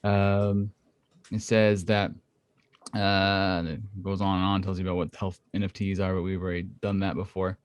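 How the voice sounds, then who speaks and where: A man's voice: little pitch movement, normal speed, clean tone, no echo. A man co-hosting a casual podcast, talking at length with lots of fillers into a home condenser mic. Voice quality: thinks his voice is high-pitched. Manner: rambling, filler-heavy, explains at length. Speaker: podcast co-host. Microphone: studio condenser mic at home.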